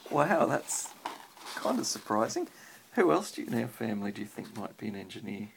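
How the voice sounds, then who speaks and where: An Australian man with a husky, creaky voice, reading a picture book aloud and chatting simply with a child as a parent would. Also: on the low side, sing-song, brisk, rough recording.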